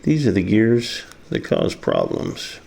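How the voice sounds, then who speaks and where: A man's voice: deep voice, huge pitch swings, takes it slowly, creaky, darkish tone, husky. A man casually demonstrating a hands-on repair, muttering to himself as he works. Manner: muttering to himself while working. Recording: faint background noise.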